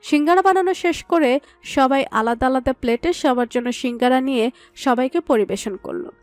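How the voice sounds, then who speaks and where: A woman narrates a scripted story in a high-pitched voice. The sound is close and dry, with no room around it. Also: normal speed, dry recording, soft background music.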